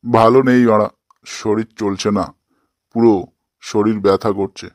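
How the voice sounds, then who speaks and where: A man's voice: on the low side, fairly even intonation, normal speed, no echo, studio quality, lightly acted. A man playing a grumpy, lonely old grandpa in a comic cartoon, complaining and swearing coarsely. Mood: weary, complaining.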